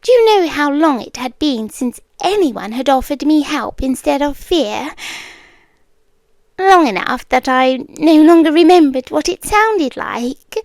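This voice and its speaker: British woman doing a creepy possessive monster queen girlfriend for asmr, really slow n high, half whispering. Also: darkish tone, no room sound, clean studio sound, heavily acted. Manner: slow, deliberate, breathy half-whisper with long pauses. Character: possessive desert queen creature, softly menacing, obsessive girlfriend. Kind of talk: ASMR roleplay.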